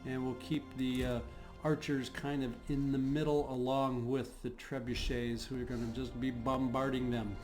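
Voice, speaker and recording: A man's voice: mid-range voice, level tone, moderate pace. A man with a slightly scratchy voice giving unscripted strategy game commentary, thinking aloud as he goes. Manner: thinking aloud, hesitant, throat-clearing. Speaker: host of a gaming channel. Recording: game music behind the voice. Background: video game music.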